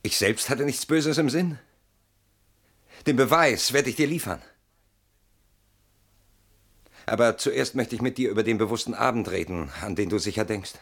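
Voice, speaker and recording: An actor in a German radio play voicing an evasive, self-justifying man with a guilty conscience, halting and brooding, protesting in sudden outbursts. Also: mid-range voice, a bit bright, slightly rough. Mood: anxious, pleading.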